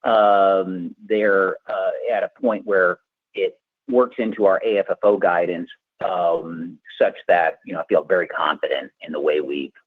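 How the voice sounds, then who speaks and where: Very low, husky man, phone line. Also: fairly even intonation, a little slow, dry recording. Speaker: company finance executive answering analysts. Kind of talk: earnings call answers, unscripted explanation. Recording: narrow tinny conference phone line.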